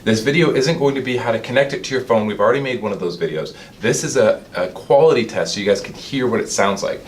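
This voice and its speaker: Male product reviewer, mid-pitched, informal explainer delivery, faint rumbling noise behind. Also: fast talker. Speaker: product specialist presenting a review. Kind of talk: product demonstration talk.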